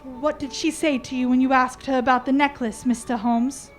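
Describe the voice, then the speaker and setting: A woman playing an anxious young English gentleman, a detective's client, in a radio drama. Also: sits high, fairly even intonation, normal speed, soft background music, lightly acted. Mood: anxious, pleading.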